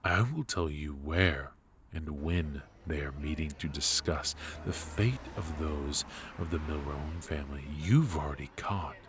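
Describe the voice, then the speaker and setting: Man acting a very deep-voiced, smug mafia boss. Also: noisy background. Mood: calculating, curious. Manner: unhurried, smug, probing, with pauses and musing hums. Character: brilliant, ruthless, teasing mafia boss, calmly bargaining. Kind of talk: intimate ASMR roleplay, noir audio drama.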